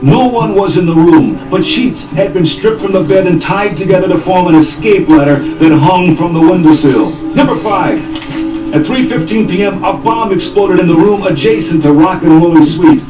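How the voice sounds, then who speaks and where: An actor playing an earnest police detective who reads out numbered facts like an official report, in a parody of old police radio drama. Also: male voice, high-pitched, normal speed, distant mic, close to an everyday voice. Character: earnest, eager police detective reporting to his senior partner. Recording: roomy cassette copy with music behind.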